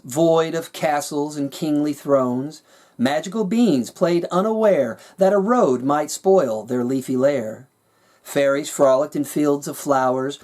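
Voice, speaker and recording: A man narrating his own rhyming fantasy story with a measured, dramatic storyteller's delivery. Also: fairly high pitch, a little mellow. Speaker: author reading their own work.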